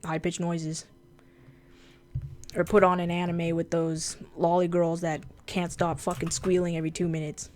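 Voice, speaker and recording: A woman whose first language is Japanese, with a fairly deep voice, chatting casually and bluntly on a drawing livestream, swearing freely. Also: flat delivery, moderate pace, darkish tone. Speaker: streamer and artist.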